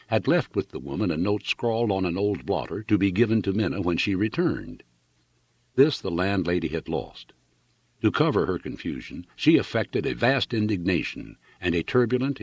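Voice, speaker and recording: A man's voice: low-pitched, slightly rough, no echo. A man reading a literary novel aloud as an audiobook narrator, in a dramatic storytelling style.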